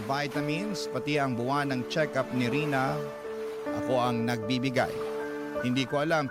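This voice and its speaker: A man plays a young father narrating his own hardships for a radio drama. His mid-pitched delivery is measured, earnest and sentimental, carried over very prominent music. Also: natural voice. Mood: earnest, burdened. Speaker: letter-sender narrator. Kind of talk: dramatic first-person scripted narration. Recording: loud music bed under narration.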